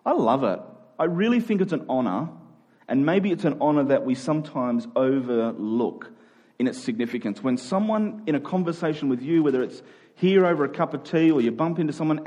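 A man delivers a conversational sermon in a husky, slightly high voice. He is earnest and repeats key phrases to drive them home. The recording sounds a little dull and lo-fi. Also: dark tone. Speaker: preacher.

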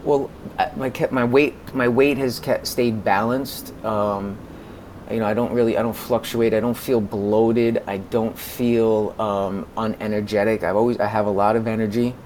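Low-voiced, halting American man in his forties. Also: fairly even intonation, a little mellow, slight background noise. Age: 40s. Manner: halting, repeats words as he goes. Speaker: podcast guest, music consultant. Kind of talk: conversational interview.

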